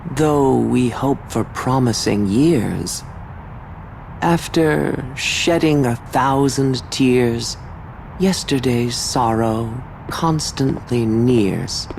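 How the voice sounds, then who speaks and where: A man playing a brooding, poetic villain in a video game, measured and theatrical. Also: medium pitch, creaky, a little mellow, slight background noise, rough recording. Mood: solemn. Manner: theatrical, long-winded, measured. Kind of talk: scripted video game drama acting.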